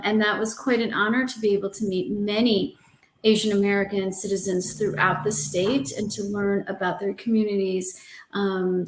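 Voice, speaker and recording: Woman, mid pitch, roomy echo, academic panelist, polite, frequent fillers. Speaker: university professor and panelist. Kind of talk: panel discussion.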